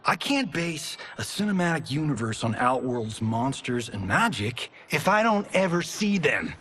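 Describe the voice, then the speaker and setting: An actor playing a vain, cocky action movie star in a video game, sarcastic, breezy and boastful. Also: male voice, mid-range voice, sing-song, normal speed, a bit bright, slight background noise. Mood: exasperated. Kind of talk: video game cinematic acting.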